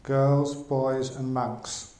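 Measured monk in a roomy hall. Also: male voice, medium pitch, little pitch movement, crowd noise. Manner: measured and unhurried, pausing in short phrases. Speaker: Buddhist monk teacher. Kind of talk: dharma talk with an interpreter. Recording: roomy echo.

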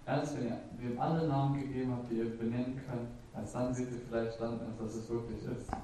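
A man's voice: medium pitch, steady tone, a little fast, warm tone, a little hiss or hum. A man interpreting a teaching live, phrase by phrase, sometimes hesitating with filler sounds. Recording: very echoey, from a distance.

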